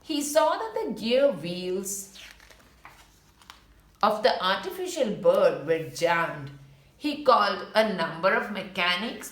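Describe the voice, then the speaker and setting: A woman reads a children's storybook aloud with a lively, swooping melody to her voice. She works as a storyteller, adding small asides about the pictures. The recording is roomy and echoing. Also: fairly low pitch. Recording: roomy, echoing indoor sound.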